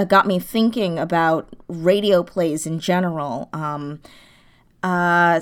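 A woman in her twenties with a slightly low voice, speaking as a guest in a casual interview. She is enthusiastic and informal, talking in a loose, conversational way. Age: 20s. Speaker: interview guest, audio drama creator.

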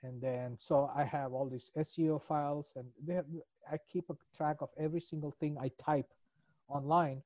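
Mellow-voiced accented man, podcast guest. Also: a little high, fairly even intonation, moderate pace, dry recording. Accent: foreign accent in English. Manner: earnest, reflective, rambling with restarts. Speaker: business coach. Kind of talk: podcast interview answers.

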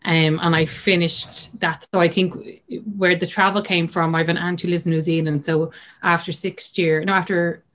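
A woman's voice: fairly low pitch, level tone, moderate pace, fairly bright tone. A woman in her thirties with a Dublin accent, chatting casually as an interview guest and acting out remembered conversations. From Ireland. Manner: chatty, many fillers, acts out quoted dialogue. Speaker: guest coach.

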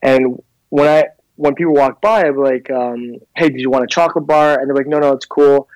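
A man's voice: mid-range voice, steady tone, brisk, darkish tone, no room sound, low-quality recording. A man in his thirties from Canada, a clothing brand founder, telling his story in a casual interview with many fillers and restarts. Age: 30s.

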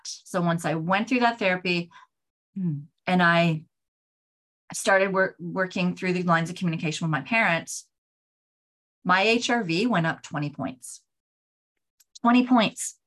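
A woman in her forties talks on her own podcast in a candid, rambling way. Her voice sits a little low. She keeps restarting and filling her sentences, and her pace swings between slow and hurried. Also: fairly bright tone. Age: 40s. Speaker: podcast host. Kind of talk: casual solo podcast talk.

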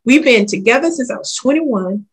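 A woman in her forties, a ministry leader talking candidly in an interview, warm-hearted and lively, calling people honey. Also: fairly high pitch, normal speed, breathy edge. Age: 40s. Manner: lively, talks straight from the heart, calls listener honey. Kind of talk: casual interview chat.

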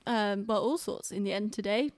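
A woman's voice: mid-range voice, normal speed, a crowd in the background. A woman co-hosting a podcast in casual chat, with an informal, bantering manner. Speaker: podcast co-host.

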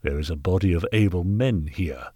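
A man's voice: low-pitched, normal speed, no echo, studio quality. A man voicing a haughty, bullying Victorian knight in a storybook reading, pompous and scornful. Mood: pompous, calculating. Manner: pompous, forceful, contemptuous. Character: haughty, callous, bullying Victorian gentleman knight, angry benefactor. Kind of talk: dramatised audiobook character reading.

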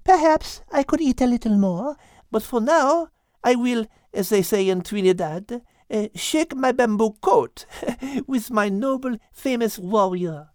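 A man voicing a sweet, petite, affectionate female animal in a children's storybook, dainty and gently teasing. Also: extremely high pitch, unhurried, no room sound, studio quality, voice pushed up. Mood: playful.

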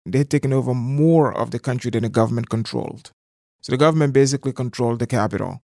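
A man's voice: mid-range voice, quick pace, gravelly, a little mellow, no echo, clean studio sound. A middle-aged man from Liberia, explaining things conversationally as an interview guest. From southeastern Liberia.

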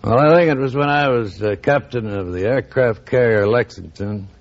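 Older man, formal, on dull old radio. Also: mid-range voice. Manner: plain-spoken, formal, measured. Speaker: retired admiral, show guest. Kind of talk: answering a radio interview.

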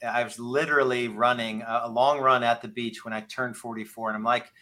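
Male podcast host, 50. Bright, forceful tone, medium pitch, earnest halting delivery, conversational interview style. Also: fairly even intonation.

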